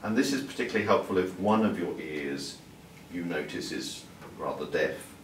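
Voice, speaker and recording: An older man, a doctor, calmly explaining and demonstrating a hearing exam in a clinic room, with small restarts. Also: low voice, normal speed. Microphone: far camera mic. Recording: roomy, distant sound with faint room hiss.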